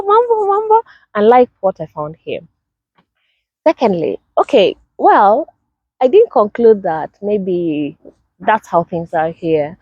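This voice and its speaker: A young Kenyan woman with a Kenyan accent, telling personal stories to camera in a casual, animated way and acting out the greetings. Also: mid-range voice, dry recording. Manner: animated, acts out greetings in a lively sing-song way. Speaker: vlogger. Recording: dry, slightly dull sound.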